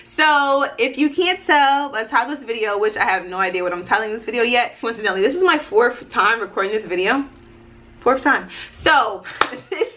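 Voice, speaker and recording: A woman's voice: on the high side, pushed and bright. A woman in her thirties giving a casual motivational vlog talk, emphatic and folksy, often checking in with her listeners. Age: 30s. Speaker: YouTuber and life and business coach.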